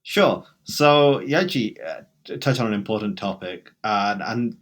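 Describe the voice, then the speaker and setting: A man's voice: fairly low pitch, animated, moderate pace, a bit bright. A man with a British accent, talking emphatically as a guest in an interview, in bursts with restarts. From England. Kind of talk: conversational interview.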